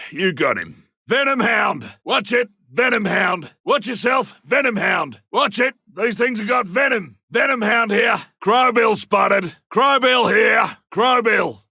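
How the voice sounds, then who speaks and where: A seasoned Australian monster hunter for a video game, cocky and colloquial. The voice is gravelly and pushed fairly high for a man, with a forceful bark in a dry, close recording. Lines come as blunt field callouts. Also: no room sound, full character voice. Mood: calm, wry. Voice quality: gravelly, rough. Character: gruff male monster hunter, rugged, cocky, seasoned trapper.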